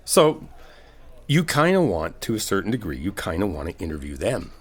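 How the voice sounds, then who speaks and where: Male, low-pitched and lively in intonation. Laid-back best friend played by a man for comfort audio roleplay, informal, supportive, rambling advice. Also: moderate pace, husky, exaggerated voice. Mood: reassuring, encouraging. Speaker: ASMR roleplay performer. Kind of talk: casual conversational comfort ASMR roleplay.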